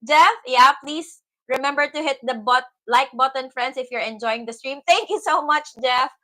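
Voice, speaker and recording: Bright-voiced female co-host. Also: high-pitched, normal speed. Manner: reads out viewer comments, laughs along. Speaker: livestream co-host. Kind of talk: casual call-in livestream chat.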